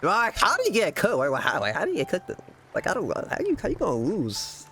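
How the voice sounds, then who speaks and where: A man streaming a casual live gameplay reaction, speaking in halting, repetitive, slangy bursts. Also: sits high, wildly swooping pitch, bright, forceful tone, faint music behind.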